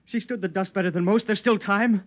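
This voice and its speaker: An actor playing a desperate, possessive, hot-tempered husband in a 1950s radio drama. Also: male voice, sits high, a little fast, natural voice. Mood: frantic, pleading. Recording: muffled vintage 1950s radio recording.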